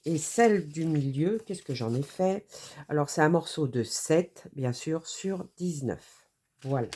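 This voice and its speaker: A woman's voice: deep voice, lively intonation, unhurried, warm tone, slightly rough. A French-accented woman with a cold, hosting a casual craft tutorial and rambling with many hesitations and self-corrections. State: has a cold.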